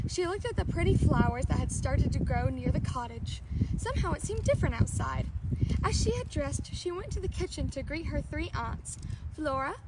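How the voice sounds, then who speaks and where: A woman's voice: high-pitched, heavy background noise. A woman storyteller reading a children's fairy tale aloud.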